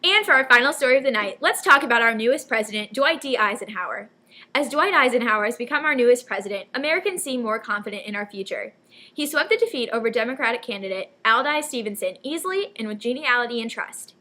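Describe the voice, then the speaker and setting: A woman's voice: on the high side, lively intonation, fast, pushed and bright. A young woman anchoring a scripted newscast, reading out stories as narration. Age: young adult. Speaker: news anchor.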